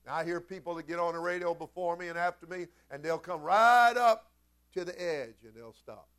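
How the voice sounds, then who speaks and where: A man preaching a sermon as a pastor, folksy and informal, moving between conversational storytelling and emphatic, animated delivery. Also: sits high, brisk, clean tone. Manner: animated, swinging from quiet conversational asides to loud emphatic shouts. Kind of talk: Pentecostal-style sermon with storytelling.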